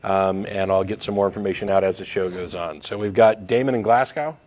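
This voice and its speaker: A man hosting a live call-in talk show from a TV studio, talking in a measured way and thinking aloud with repeats. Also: deep voice, steady tone, a little mellow.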